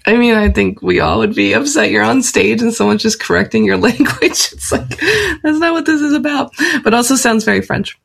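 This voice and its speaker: A woman's voice: a little high, big pitch swings, brisk, vocal fry. A woman hosting an interview in a casual, informal, agreeable conversational way. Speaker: interviewer, host.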